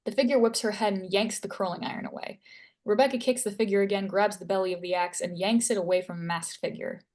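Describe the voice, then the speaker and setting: A woman's voice: medium pitch, steady tone, fast talker, a little mellow. A woman narrating stage directions from a screenplay at a table read.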